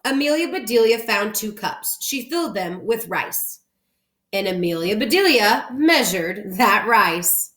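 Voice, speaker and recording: Expressive woman reading a storybook aloud, roomy. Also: medium pitch, unhurried. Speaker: elementary school teacher reading aloud. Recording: roomy indoor echo.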